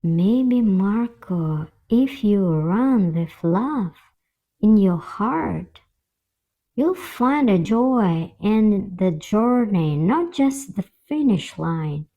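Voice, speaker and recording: A woman voicing a gentle, kind young cloud nymph in a children's fairy tale, earnest and measured. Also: mid-range voice, animated, narrow, tinny audio, exaggerated voice. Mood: gentle, encouraging.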